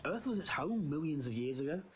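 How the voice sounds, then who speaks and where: A man playing a quirky, quick-witted time traveller in an audio drama, flippant yet assertive. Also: on the high side, sing-song, over music. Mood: explanatory, grave.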